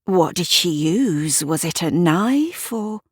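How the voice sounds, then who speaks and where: A woman's voice: on the low side, animated, slow, husky, dry recording, studio quality, full character voice. A woman voicing a self-important, nosy female neighbour in an audio drama, prim and fussy, correcting herself as she goes. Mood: officious.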